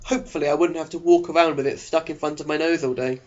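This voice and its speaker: Sarcastic higher-voiced man reading an audiobook. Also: fairly even intonation, fast talker, a little mellow, highs cut off. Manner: sarcastic, exaggerated delivery. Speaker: audiobook narrator. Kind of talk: sarcastic mock audiobook narration.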